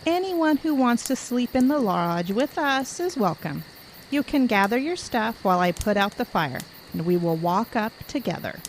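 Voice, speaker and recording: A woman acting as a calm camp leader in an amateur audio drama, speaking in a measured storytelling way. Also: on the high side, slight background noise, rough recording. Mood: soothing, motherly. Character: calm woman camp leader, secretly spooking the campers at night.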